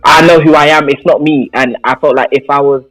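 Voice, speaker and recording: A man's voice: a little high, steady tone, a little fast, a bit bright, low-quality recording. Young man chatting, music behind. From London, England. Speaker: radio guest. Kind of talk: casual reflective interview chat. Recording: background music under the talk.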